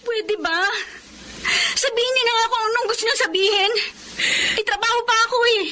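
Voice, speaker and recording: An actress playing a resentful, hot-tempered young daughter in an emotional radio drama. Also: female voice, very high voice, normal speed, sharp tone. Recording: clear background noise.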